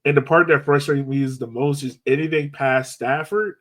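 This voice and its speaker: A man's voice: mid-range voice, steady tone, normal speed, a bit bright. Podcast host: American male, mid-range voice, informal sports chat delivery.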